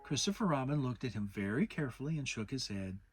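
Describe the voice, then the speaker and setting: A woman's voice: a bass voice, animated, quick pace. A woman narrating a children's audiobook as a dramatic reading. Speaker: storybook narrator.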